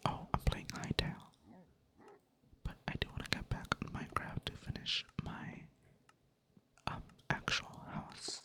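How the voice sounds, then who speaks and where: A creator whispering ASMR-style in a monotone, rambling casually while playing a game at a computer. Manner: monotone delivery; rambling, casual, self-mocking. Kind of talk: whispered ASMR chat over gameplay.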